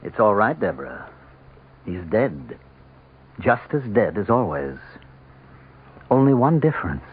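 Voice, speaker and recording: Low, husky, sinister devil. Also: male voice, very animated, heavily acted. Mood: gloating. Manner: menacing, deliberate, mocking. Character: sinister, calmly taunting devil in respectable man's guise. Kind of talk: radio drama villain acting. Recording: tinny, band-limited old radio sound.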